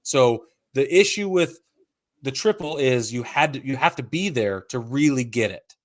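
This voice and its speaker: A man's voice: mid-range voice, lively intonation, moderate pace. A man co-hosting a casual sports podcast, explaining his opinions point by point in conversational talk. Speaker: podcast co-host.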